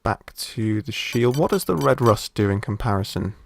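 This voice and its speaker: Croaky man narrating gameplay. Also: fairly low pitch, normal speed. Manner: relaxed, thinking aloud while playing. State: croaky from a sore throat. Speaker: gameplay commentator. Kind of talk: casual let's play commentary.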